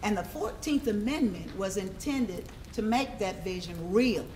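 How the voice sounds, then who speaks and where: A woman performing as an earnest orator in a staged reading, speaking in a measured, emphatic way. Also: medium pitch, expressive, a little mellow, slight background noise, natural voice. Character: earnest female orator on equality and justice. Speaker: stage performer in readers theatre. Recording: echoey hall.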